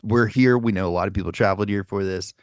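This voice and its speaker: A man's voice: lowish voice, fast talker, smooth, no room sound, studio quality. A man from Nashville, a vocalist and podcast co-host, chatting casually and animatedly, swearing and saying "dude" often. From Nashville, USA. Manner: animated, swears freely, says "dude" a lot. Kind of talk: casual chat.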